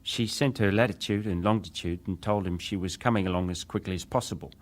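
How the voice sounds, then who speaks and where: Male actor as seaman witness, low voice, clipped, respectful, defensive, dramatised inquiry testimony. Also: a little fast, natural voice. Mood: respectful, matter-of-fact. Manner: clipped, respectful, matter-of-fact. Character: young British ship's wireless operator, respectful but defensive witness. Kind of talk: dramatised reading of hearing testimony.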